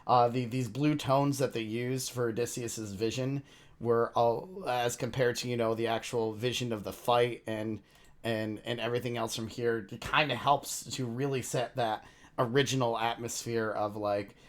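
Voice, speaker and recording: Male reaction host, medium pitch. Casual, rambling, openly gushing and excitable commentary. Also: normal speed. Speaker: reaction video host, VTuber.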